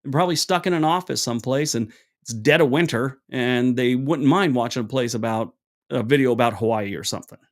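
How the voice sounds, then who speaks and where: A man with a deep voice hosting a casual livestream, answering chat questions in a rambling, chatty way with many restarts. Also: fairly quick. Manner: acts out both sides of an anecdote with raised pitch. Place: room at home. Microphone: small wireless clip mic.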